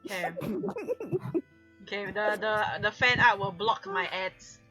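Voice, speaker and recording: A woman hosting a live fanfic stream, chatting casually in a giggly, excitable, dramatic way. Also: mid-range voice, measured pace, soft background music. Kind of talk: casual livestream banter.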